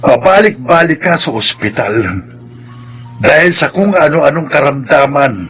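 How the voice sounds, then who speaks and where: A man's voice: fairly high pitch, animated, unhurried, a bit bright, faint music behind. An actor with a Filipino accent playing an earnest, worried father in a radio drama, speaking emphatically and solemnly. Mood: solemn, heavy. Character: earnest, worried father of two grown daughters.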